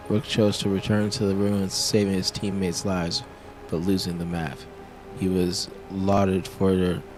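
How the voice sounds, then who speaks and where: A man with a low voice hosts a casual game stream. He reads on-screen text and comments informally, half to himself. Game music plays clearly underneath. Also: low-quality recording. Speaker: game streamer, show host. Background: video game music.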